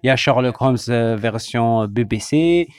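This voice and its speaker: A man's voice: mid-range voice, measured pace, clean tone. A man co-hosting a casual chat, talking hesitantly with many fillers as he lists his points. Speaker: co-host.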